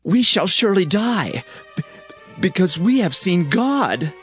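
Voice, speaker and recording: An actor playing an earnest, anxious and humble Israelite husband in a dramatized Bible reading, reverent and beseeching. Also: male voice, high voice, big pitch swings, a little slow, a bit bright, soft background music, exaggerated voice. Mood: fearful. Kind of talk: dramatized Bible audio acting.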